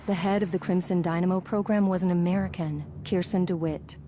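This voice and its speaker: An actress playing a composed, sly female spy with a calm, clipped delivery. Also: lowish voice, fairly quick, slight background noise, lightly acted. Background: loud game score.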